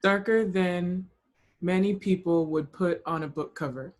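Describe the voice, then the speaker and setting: A woman's voice: lowish voice, unhurried. A woman taking part in a book discussion, speaking in a casual, reflective way and relaying comments.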